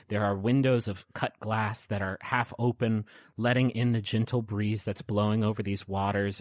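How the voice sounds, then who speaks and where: A man narrating a tabletop game as game master, in gentle, descriptive storytelling. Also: on the low side, dry recording, studio quality. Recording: prominent piano music underneath.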